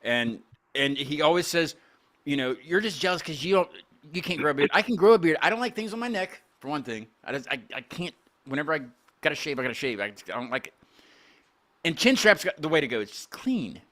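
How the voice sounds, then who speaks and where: Lively rambling male host. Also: a little high, fairly quick. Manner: rambling, stammering restarts, laughs often. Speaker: podcast host. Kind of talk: casual group chat with band guests.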